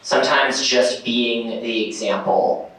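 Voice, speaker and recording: A man's voice: medium pitch, steady tone, takes it slowly, a bit bright. A man speaking on a convention panel in a casual, rambling way, with many fillers and restarts. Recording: echoey hall from far off.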